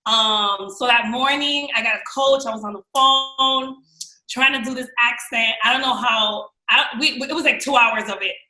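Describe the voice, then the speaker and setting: Bright-voiced young woman with a New York accent. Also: fairly high pitch, moderate pace, echoey room, poor audio. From New York, raised in Miami. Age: young adult. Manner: animated, slangy, quotes people in voices. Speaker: guest actress. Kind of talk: casual storytelling chat.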